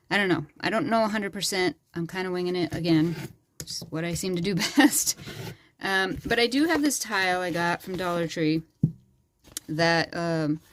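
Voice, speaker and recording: A woman with a sore, hoarse throat, casually talking through a craft tutorial in a small bedroom craft corner, rambling with lots of fillers. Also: on the low side, a little hiss or hum. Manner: rambling and chatty, with frequent fillers. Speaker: crafting channel host. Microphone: phone camera.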